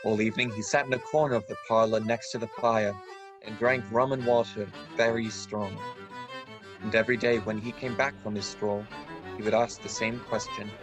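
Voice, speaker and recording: A man playing an earnest storyteller who recalls a boyhood adventure, narrating a radio play in a measured, literary way. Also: lowish voice, fairly even intonation, music in the background, natural voice. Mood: reflective storytelling.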